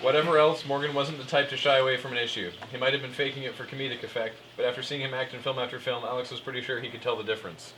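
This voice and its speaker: A man's voice: mid-range voice, steady tone, very fast, hardly any pauses. A man doing a live comic read-aloud as narrator, stumbling over lines with amusement and cueing his fellow performers, in a noisy live room recording. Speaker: narrator reading fan fiction aloud.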